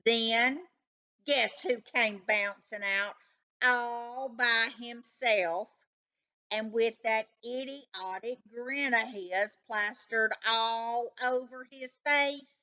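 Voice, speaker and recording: A woman voicing an excitable, suspicious male cat from a children's storybook, telling his tale with dramatic suspense. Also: on the high side, drawn-out words, clean tone, dull audio, exaggerated voice. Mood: dramatic, conspiratorial.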